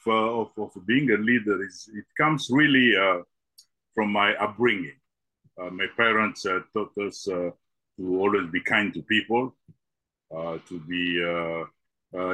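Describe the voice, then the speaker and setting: Low bright-toned man, halting and dry. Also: steady tone, dry recording, rough recording. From Congo. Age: middle-aged. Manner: halting, many uh fillers and repeated words. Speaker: guest. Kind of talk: podcast interview answers.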